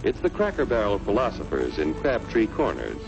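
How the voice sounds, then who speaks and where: Lively American male narrator over loud music. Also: fairly low pitch, fairly quick, gravelly. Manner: earnest, declamatory. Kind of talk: 1940s educational cartoon narration. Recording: dull vintage film soundtrack, loud music. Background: loud orchestral music.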